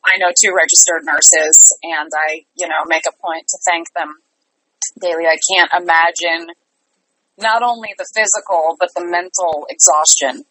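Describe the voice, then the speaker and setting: Casting: woman, interview guest, lowish pitch, bright forward tone, earnest and emphatic delivery. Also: fairly even intonation, moderate pace, rough recording. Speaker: interview guest, theatre artistic director. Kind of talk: conversational interview.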